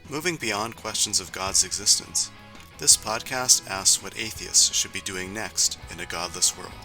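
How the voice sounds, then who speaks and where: A man hosting a podcast, opening the show and then asking relaxed, somewhat hesitant interview questions. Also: sits low, a little slow, music in the background, rough recording. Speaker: podcast host.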